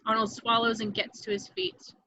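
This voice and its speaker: Female screenplay narrator. Bright, slightly high voice, descriptive reading, somewhat muffled home recording. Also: lively intonation, normal speed, no echo. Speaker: stage directions narrator.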